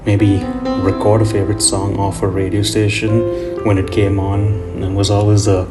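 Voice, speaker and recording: A man's voice: lowish voice, level tone, normal speed. A middle-aged man giving a casual, rambling voiceover in a nostalgic, reflective manner, as host of a drawing tutorial. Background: guitar music.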